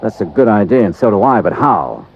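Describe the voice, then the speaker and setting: An actor playing a tough, level-headed American investigator, clipped and hard-boiled, in a 1950s radio drama. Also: male voice, on the low side, big pitch swings, a little fast, gravelly, slightly rough, full character voice. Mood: guarded, urgent. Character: tough, level-headed male private investigator narrating his case. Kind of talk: 1950s radio drama acting and first-person narration. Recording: muffled, hissy vintage radio recording.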